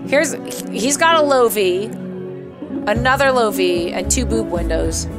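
A young woman livestreaming a game playthrough, reading its text aloud and reacting in a playful, crude, expressive way. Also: mid-range voice, measured pace. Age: young adult. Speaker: game streamer. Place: small home room, indoors. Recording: music behind the voice. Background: game background music.

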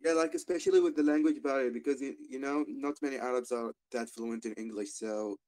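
A man in a casual voice chat giving quick, soft, encouraging remarks. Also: medium pitch, no room sound. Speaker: guest in a voice chat.

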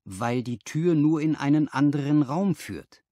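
Man reading as an excitable, animated Victorian clerk. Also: a little high, a little slow, no echo, clean studio sound, pitched above the performer's own voice. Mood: puzzled, agitated.

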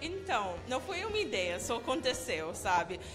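Woman, young adult, American accent, native English speaker, laughing, halting learner speech, high voice, music behind. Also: big pitch swings. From United States. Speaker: guest youtuber. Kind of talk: interview answers. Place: indoor studio set. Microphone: handheld mic held by interviewer.

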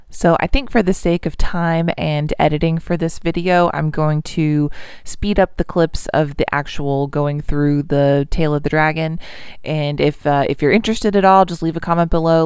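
Smooth, dry-voiced woman vlogger. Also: low-pitched, no echo. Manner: relaxed, explanatory, with frequent ums. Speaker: motovlogger. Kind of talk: casual voice-over narration.

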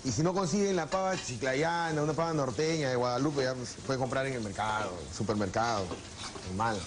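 Peruvian chef talking over kitchen noise. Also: male voice, medium pitch. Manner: unhurried, repeats words while working at the stove. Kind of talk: explaining recipes while cooking, then reminiscing. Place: restaurant kitchen, then dining room. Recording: noisy restaurant recording.

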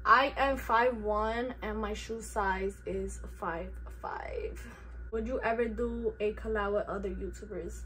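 A woman's voice: medium pitch, unhurried, fairly bright tone, a little hiss or hum, low-quality recording. Laid-back teen girl with a New York accent. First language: Spanish. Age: teens. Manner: laid-back, slangy, self-teasing. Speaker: YouTuber. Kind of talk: casual Q&A vlog. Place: bedroom, indoors.

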